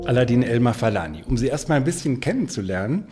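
A male radio host reading a scripted introduction, then interviewing a guest in measured tones. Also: mid-range voice, big pitch swings, music in the background. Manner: measured, sentence prompts.

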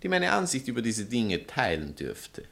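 A man's voice: mid-range voice, huge pitch swings, normal speed, vocal fry, a bit bright, heavily acted. A proud male novelist in a satirical stage comedy. His manner is grandiloquent and formal. He stays ironically courteous while being defiant. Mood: lofty, provocative. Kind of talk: theatrical stage comedy dialogue.

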